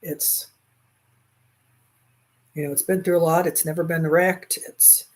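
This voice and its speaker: A woman's voice: low voice, steady tone, unhurried. A woman in her sixties chatting casually and laughing easily while she crochets, answering viewers' comments on a livestream from a small room at home, recorded on a webcam. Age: 60s. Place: small bedroom at home, indoors. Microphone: webcam at desk.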